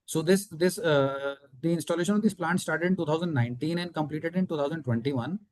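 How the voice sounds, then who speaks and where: Male company executive, Indian accent, quick pace and dry close sound, methodically explaining business figures to investors. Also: on the high side, a little mellow, no room sound. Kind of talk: explanatory answers on an earnings call.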